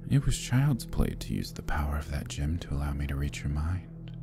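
A man voicing an arrogant, scheming Demon King who taunts and menaces in an ASMR roleplay, often dropping to a husky whisper. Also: extremely low pitch, big pitch swings, normal speed, over music, heavily acted. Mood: menacing. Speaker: voice actor.

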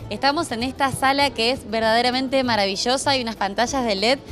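A woman's voice: a little high, steady tone, fairly quick, fairly bright tone, soft background music. A woman TV reporter, eager and presenter-like, interviewing at an exhibition. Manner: enthusiastic, presenter-like.

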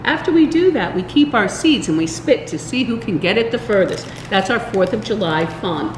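An older woman reading a children's storybook aloud, expressive and deliberate, with small stumbles. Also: mid-range voice. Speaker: library story time reader. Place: indoors in a large library room. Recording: roomy echo, faint background room noise.

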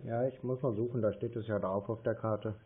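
A man's voice: fairly low pitch, steady tone, fairly quick, crowd noise. A man talking hesitantly and muddled on a phone call, thinking aloud as he types and reads. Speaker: scam-baiter posing as a confused customer on a call. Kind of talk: slow phone conversation, reading aloud while typing. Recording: muffled, narrow phone-call sound.

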